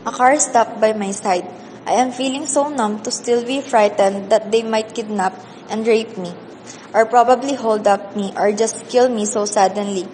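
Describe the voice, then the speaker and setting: A woman's voice: on the high side, fairly even intonation, moderate pace, darkish tone, lightly acted. A woman playing a sad, earnest orphaned teenage girl who narrates a radio drama in the first person. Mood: sad reflective. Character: sad, weary orphaned teenage girl telling her story. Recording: long hall reverb, faint noise.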